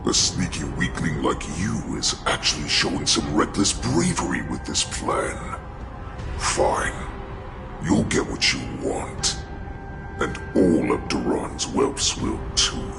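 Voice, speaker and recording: An actor voicing an ancient, imperious wolf-beast warlord in a video game, with a deep gravelly growl and a slow, scornful, menacing delivery. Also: male voice, big pitch swings, music in the background, full character voice. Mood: grudging approval. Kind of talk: dramatic video game voice acting.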